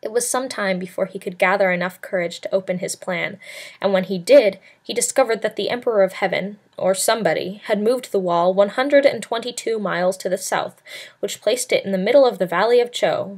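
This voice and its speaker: A woman's voice: medium pitch, a little fast, a little mellow, breathy edge. A woman narrating a novel as an amateur read-aloud, in a scripted storybook style. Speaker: amateur audiobook reader.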